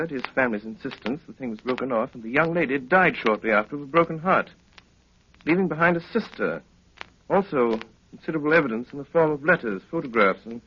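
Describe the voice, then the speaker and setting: A man's voice: on the high side, natural voice. Man acting a suave detective on noisy vintage radio. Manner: urbane, deliberate, theatrical, with drawn-out pauses. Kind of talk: 1930s radio drama acting. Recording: dull, noisy 1930s radio recording.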